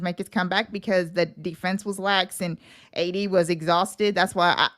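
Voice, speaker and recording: A woman with a fairly low voice appears as a guest in a casual sports talk. She speaks in a colloquial, conversational way and gives her opinions freely.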